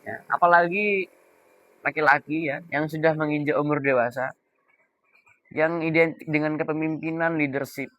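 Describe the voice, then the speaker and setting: A young adult man giving an informal coaching talk, deliberate and emphatic, pausing between key words. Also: a little high, steady tone, fairly bright tone, no room sound. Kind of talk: informal explainer talk to camera.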